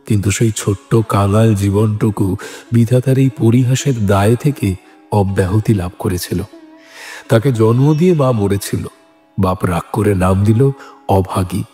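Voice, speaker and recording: A man reading scripted literary narration as a storyteller, in a measured manner. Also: mid-range voice, warm tone. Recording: music bed behind the voice.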